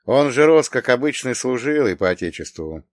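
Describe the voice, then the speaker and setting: A man's voice: medium pitch, big pitch swings, moderate pace, no room sound, studio quality, pitched above the performer's own voice, natural voice. A man voicing a self-assured young nobleman, the wry hero of a historical audiobook, with emphatic delivery. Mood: matter-of-fact. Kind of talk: acted audiobook dialogue.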